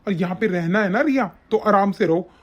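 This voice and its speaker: A woman's voice: mid-range voice, fairly quick, natural voice. A woman playing an angry, domineering young husband and devoted son, bossy and accusing in a family melodrama. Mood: stern, dismissive. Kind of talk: domestic family melodrama acting. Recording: dull, muffled lo-fi sound.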